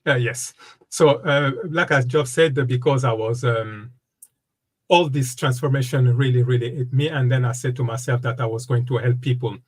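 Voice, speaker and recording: Man, 50s, from Congo, interview guest, wellness coach, hesitant with fillers, mid pitch. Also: fairly even intonation. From Democratic Republic of Congo. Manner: halting, many fillers and restarts. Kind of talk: casual interview conversation.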